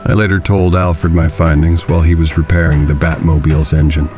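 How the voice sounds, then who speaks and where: A brooding, middle-aged masked avenger with a very low voice. He is grim and deliberate, set on vengeance, in an audio drama with clear music underneath. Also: level tone. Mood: grim, recounting. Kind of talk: audio drama narration and dialogue.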